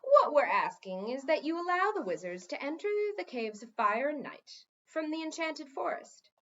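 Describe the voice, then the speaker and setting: Woman playing a lecturing, smooth male head wizard. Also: high voice, very animated, moderate pace, a bit bright, highs cut off, pitched above the performer's own voice. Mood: confident. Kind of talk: children's fantasy audiobook reading.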